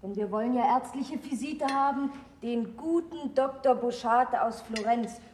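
A woman's voice: on the high side, unhurried, darkish tone, distant mic, slight background noise, close to an everyday voice. An actress playing a patient woman who coaxes her charge as if talking to a child, in a radio drama. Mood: coaxing. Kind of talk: German radio drama acting. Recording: echoey room, off the mic.

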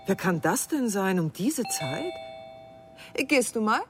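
An actress in a German radio drama playing a composed, probing middle-aged wife with a measured, pointed way of talking. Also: female voice, medium pitch, sing-song, over music. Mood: suspicious.

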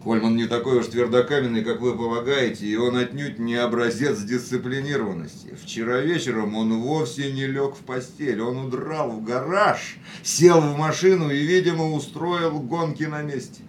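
A man's voice: steady tone, moderate pace, hardly any pauses, natural voice. An actor in a radio drama playing a philosophical, risk-loving man with dramatic, declamatory phrasing. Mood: wry, persuasive. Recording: echoey radio studio, faint rumbling noise.

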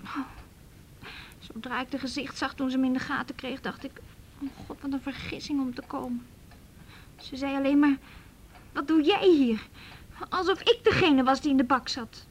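An actress playing a hurt, defiant young runaway girl in a naturalistic radio drama, telling things in a halting, wry way. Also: sits high, slight background noise, close to an everyday voice. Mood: embarrassed, wry.

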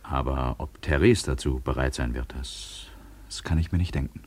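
Tormented young lover, very deep voice, husky edge, halting, guilty, radio play. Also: male voice, a little mellow. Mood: feigned reluctance. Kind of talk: German radio drama acting.

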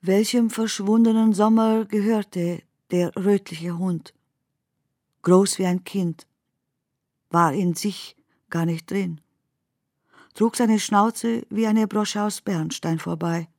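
An actress narrating surreal, dreamlike memories in a poetic radio drama, with measured and deliberate phrasing. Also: female voice, mid-range voice, steady tone, a little mellow, no room sound, clean studio sound. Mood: dreamlike, uneasy.

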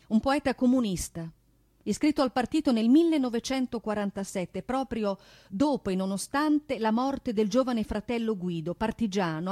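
A woman performing a theatrical monologue in a declamatory, deliberate way, with emphatic delivery and dramatic pauses. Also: a little high, mellow, no room sound, studio quality. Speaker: stage narrator and performer. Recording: piano music accompaniment. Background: live piano.

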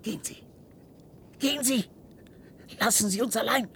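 An actor playing an obsessive, tormented scientist in a German radio play, theatrical and halting, moving between hushed whispers and outbursts. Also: male voice, extremely high pitch, vocal fry, slight background noise, exaggerated voice. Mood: panicked, defiant. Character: obsessive, tormented male linguist scientist, desperate and defiant.

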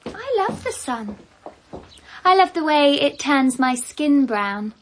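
A woman's voice: high-pitched, big pitch swings, slow pace, slight background noise, exaggerated voice. A woman with a British accent playing a spoiled, jealous young wife in a radio drama, petulant and cutting. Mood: catty.

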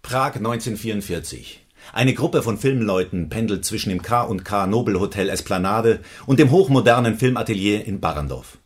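An actor in a German radio drama playing a brash, cynical screenwriter who reports in clipped phrases. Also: male voice, lowish voice, animated, fairly quick, slightly rough, no room sound. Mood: narrating, emphatic.

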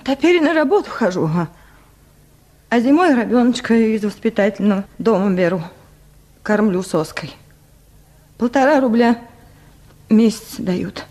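Radio play peasant wife: timid, pleading, higher-pitched, unhurried and halting. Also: female voice, lively intonation, a little mellow. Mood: meek. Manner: halting, plaintive, submissive. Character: meek, lovelorn peasant wife, pleading and tearful. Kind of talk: Russian radio drama acting.

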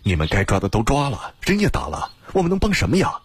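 A man's voice: fairly low pitch, animated, quick pace, breathy edge, dry recording. A man voicing a loyal, indignant young male friend in an audiobook story. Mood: indignant disbelief.

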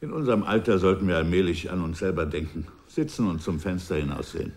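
An older man playing a former revolutionary in a radio drama, recalling his past in a measured, wistful way that often drops to a hush. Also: sits low. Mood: reflective. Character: aging male ex-revolutionary, reflective and proud. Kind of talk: radio drama acting, reflective narration.

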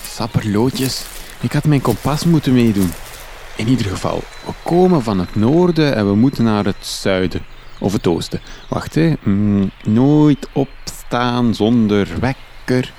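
A man's voice: mid-range voice, lively intonation, measured pace, dark tone, roomy sound, in a large hall, a little hiss or hum. A man with a Flemish accent playing a naive, chirpy young adventurer in a radio comedy drama, earnest and chatty. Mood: cheerful, earnest. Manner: earnest, chatty, quaint exclamations.

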